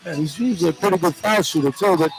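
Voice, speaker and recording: A man's voice: a little high, quick pace, over music, low-quality recording. A man giving live basketball analysis as a color commentator, talking in a conversational, explanatory way. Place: indoor basketball arena.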